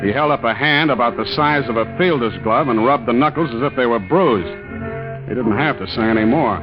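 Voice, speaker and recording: An actor playing a tough, suspicious ship's skipper in 1940s radio adventure style, terse, wry and hard-boiled. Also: male voice, medium pitch, brisk, close to an everyday voice. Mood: hard-boiled, brooding. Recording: muffled old radio recording with music.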